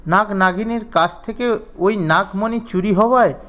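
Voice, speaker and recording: A man's voice: high-pitched, a little slow, a bit bright. A man narrating a scripted children's fairy tale as storyteller.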